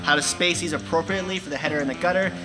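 A young man presenting for a company, giving clear step-by-step instructions in a large indoor room. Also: fairly high pitch, rapid-fire, over music. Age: young adult. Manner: clear step-by-step explaining with hand gestures. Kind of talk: instructional how-to video.

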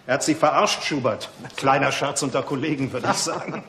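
A male actor plays a brisk police doctor in a radio drama. His voice is mid-pitched and wry, with clear noise behind it. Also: close to an everyday voice. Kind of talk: German radio play acting.

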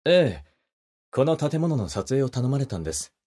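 A gentlemanly teasing photographer. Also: male voice, mid-range voice, big pitch swings, normal speed, husky. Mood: polite, gentle. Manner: soft-spoken, polite, teasing. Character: gentlemanly young male wedding photographer, polite and teasing. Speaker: voice actor in a situation drama. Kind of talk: audio drama for women, spoken to the listener.

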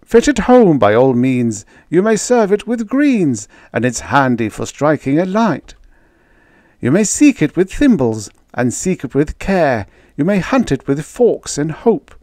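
A meek, tearful baker frets through his tale in a storybook verse reading, as a male reader puts him on. The voice sits well above the reader's own, wobbling high and wide in pitch, and the sound is close and dry. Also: very animated, a little slow, darkish tone, dry recording. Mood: plaintive. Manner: high, quavering, pleading, fretful. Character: timid, tearful middle-aged male baker.

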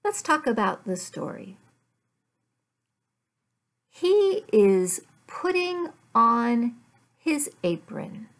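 A middle-aged woman teaching English, speaking deliberately with careful enunciation and repeating simple phrases for learners. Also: on the high side, very animated. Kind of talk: slow, simplified language lesson read aloud. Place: furnished room at home.

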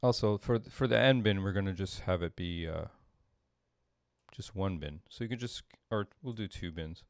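A middle-aged man giving a casual coding demo as a tutorial presenter, halting and thinking aloud as he works. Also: low-pitched, no echo, studio quality. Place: small home room, indoors.

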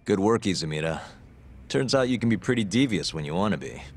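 A man's voice: fairly low pitch, lively intonation, a little fast. An actor playing a wry, dogged investigator in his thirties in a video game, talking casually. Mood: dry, friendly. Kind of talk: video game cutscene acting. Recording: music behind the voice.

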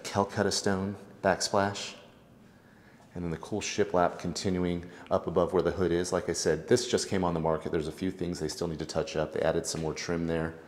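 Brief: male realtor, deep low voice, easygoing tour patter, faint background hiss. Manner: relaxed, chatty, lots of filler words. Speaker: real estate agent giving a home tour. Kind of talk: casual walkthrough narration. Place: house, indoors and outdoors.

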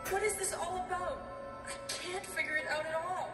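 Anxious horror-game policewoman, very high voice, stilted delivery, music underneath. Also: steady tone, normal speed. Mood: puzzled. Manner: stilted line reading.

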